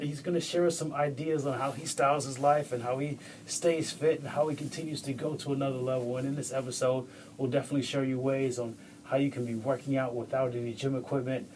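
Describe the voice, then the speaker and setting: A man's voice: medium pitch, darkish tone, a little hiss or hum, poor audio. An enthusiastic man hosting an interview podcast, often repeating words as he starts his questions. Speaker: podcast host.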